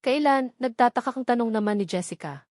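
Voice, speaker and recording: Lady reading a romance story out loud, dry sound no room echo. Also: mid-range voice, expressive, brisk. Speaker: audiobook narrator. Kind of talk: scripted first-person romance narration.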